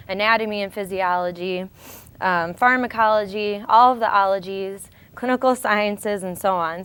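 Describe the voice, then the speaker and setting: Female host, American, smooth clear voice on the low side, informal educational presenting. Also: fairly bright tone. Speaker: show host, acupuncturist.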